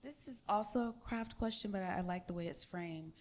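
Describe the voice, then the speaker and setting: A woman's voice: lowish voice, a little fast, a little mellow, a little hiss or hum. A woman hosting an author interview on a library stage, asking questions in an upbeat, encouraging way into a handheld mic. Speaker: event host and interviewer.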